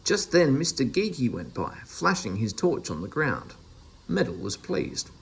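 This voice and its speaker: A man's voice: mid-range voice, sing-song, measured pace, gravelly, slightly rough. A man reading a children's storybook aloud as a narrator. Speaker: storybook reader.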